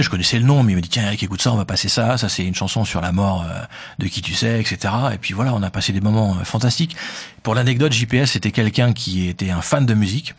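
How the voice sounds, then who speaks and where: A man with a Parisian accent, a radio host interviewed about his career, talking quickly with many fillers. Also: fairly low pitch, husky. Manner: fast, hesitant with many fillers. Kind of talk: conversational interview.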